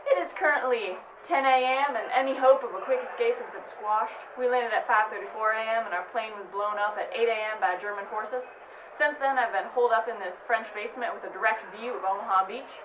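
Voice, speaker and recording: A woman's voice: on the high side, fairly quick, lightly acted. A woman playing a 1940s radio war correspondent reporting from the battlefield. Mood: grim, reporting. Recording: roomy, distant mic with faint noise.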